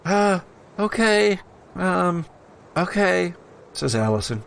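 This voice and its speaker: A man acting as game master, deliberately narrating scenes and explaining rules for a tabletop roleplaying game. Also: high voice, sing-song, vocal fry, a bit bright.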